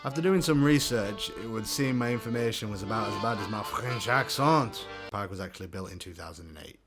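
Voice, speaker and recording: A man's voice: on the low side, a little fast, music in the background. Wry man narrating travels. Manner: dry, wry humor. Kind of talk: scripted travel voice-over.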